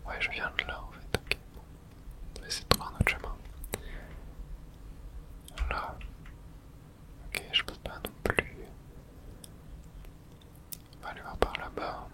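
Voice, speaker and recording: A man speaking in a breathy, unvoiced whisper, giving hushed ASMR commentary on a video game and thinking aloud in short remarks. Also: low-quality recording.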